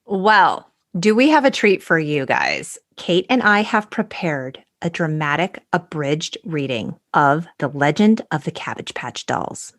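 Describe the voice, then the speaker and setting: A woman's voice: low-pitched, sing-song, a little slow, few pauses. A middle-aged woman chatting casually as the co-host of a podcast. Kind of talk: casual chat.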